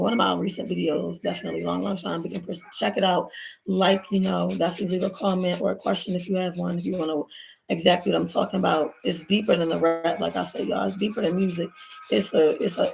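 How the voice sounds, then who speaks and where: A woman's voice: on the low side, level tone, quick pace, vocal fry, darkish tone, a little hiss or hum, poor audio. A woman from Baltimore who has lost her voice, chatting casually through a story time for her viewers while doing her nails, recorded on a laptop mic at home. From Baltimore, USA. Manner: chatty, rambling, addresses viewers as y'all. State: hoarse, lost voice. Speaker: vlogger. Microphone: laptop built-in mic.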